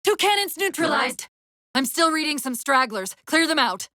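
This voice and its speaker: Casting: female game AI companion, high and very animated, tactical, witty, strained. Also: normal speed, no room sound, full character voice. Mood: urgent, commanding. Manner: crisp tactical briefings with dry sarcasm. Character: female military AI companion, urgent, witty, fraying under strain. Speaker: voice actress in a video game. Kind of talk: dramatic game voice acting.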